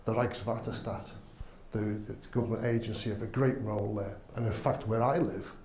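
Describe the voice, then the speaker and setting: A man's voice: lowish voice, steady tone, moderate pace, a little mellow, roomy sound, slight background noise. A man with a Liverpool accent giving an enthusiastic conference talk, with many ums. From England. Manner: enthusiastic, filler-heavy, says um often. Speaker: conference speaker. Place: conference hall.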